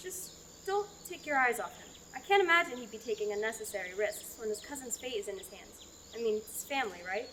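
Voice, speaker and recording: A woman's voice: fairly high pitch, animated, brisk, bright, forceful tone, slight background noise. A young woman in a student radio drama, playing a dry-witted farm woman. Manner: wry, dry humor.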